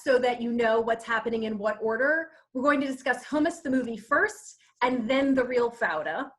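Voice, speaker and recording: A woman hosts an online talk over a video call. Her voice sits high for a woman, and she gives friendly, prepared introductions. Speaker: event host and moderator.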